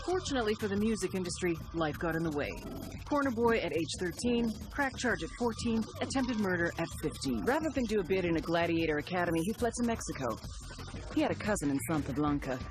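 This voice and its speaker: Actress as a sardonic, animated briefer. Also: female voice, fairly low pitch, quick pace, noisy background, lightly acted. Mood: wry. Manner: sardonic, profane, conversational. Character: wry, sardonic intelligence briefer narrating cartel dossiers. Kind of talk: scripted narration for video game cutscene. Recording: music behind.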